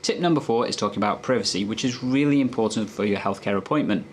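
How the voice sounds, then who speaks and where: A man's voice: on the low side, quick pace, slight background noise. A man presenting health tips as a doctor, explaining in a conversational, instructive way to viewers.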